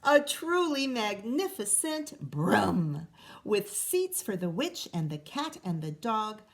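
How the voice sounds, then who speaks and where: A woman teacher reading a picture book aloud to children, animated and pausing to chat and ask questions. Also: mid-range voice. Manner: animated, asks the listener questions. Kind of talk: expressive read-aloud with asides to children.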